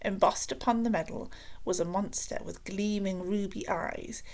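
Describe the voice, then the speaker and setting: A woman reading a children's book aloud as expressive scripted narration. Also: medium pitch, moderate pace.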